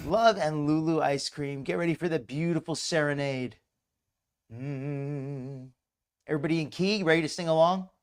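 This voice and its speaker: A slightly hoarse man hosting a live reaction stream, chatty and mocking, greeting viewers in chat by name. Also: a little high, normal speed. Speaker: commentary livestream host. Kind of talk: casual live reaction commentary.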